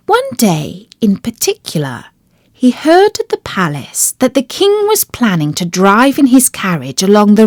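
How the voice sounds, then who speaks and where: A woman reading a children's fairy tale as a storyteller, with deliberate, expressive phrasing. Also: medium pitch, no echo, studio quality. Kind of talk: scripted children's storybook narration.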